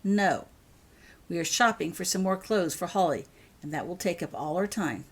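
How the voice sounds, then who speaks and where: A woman's voice: lowish voice, expressive, normal speed, slightly rough. A woman voicing a firm, caring mother in a storybook reading, decisive and motherly. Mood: brisk.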